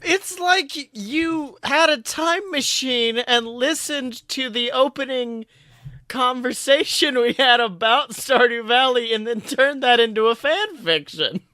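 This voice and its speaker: A man with a high, bright voice hosts a casual podcast. He sounds emphatic and playful, a bit of a showman, in a dry, close recording. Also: takes it slowly, no room sound. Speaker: podcast host.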